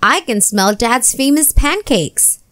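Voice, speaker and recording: A woman voicing a curious, excitable little boy in a storybook, exclaiming and asking questions. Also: fairly high pitch, slow, pitched above the performer's own voice. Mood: delighted.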